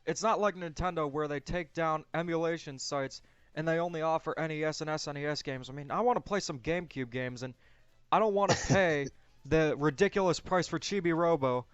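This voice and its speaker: Young guy hosting a casual chat, kinda high clear voice, bit lo-fi. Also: a little fast, no room sound. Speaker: discussion host.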